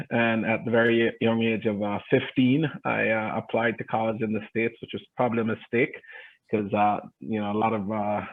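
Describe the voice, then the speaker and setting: A Jamaican man in his forties, an adjunct professor introducing himself to prospective students with relaxed, self-deprecating humor. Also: on the low side. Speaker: adjunct professor and private equity investor. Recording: tinny phone-like audio.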